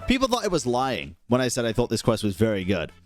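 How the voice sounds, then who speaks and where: A man's voice: mid-range voice, huge pitch swings, quick pace. A young man giving casual, opinionated gaming commentary in a blunt, slangy manner. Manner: blunt, slangy, frequently swearing. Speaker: gaming YouTuber. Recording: music playing faintly behind.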